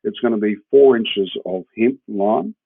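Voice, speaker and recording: A man's voice: fairly low pitch, measured pace, creaky, breathy edge, dry recording. A man with a New Zealand accent explaining his work informally as an interview guest, often checking in with the listener. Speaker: podcast guest, hemp builder. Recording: muffled, phone-like narrowband line.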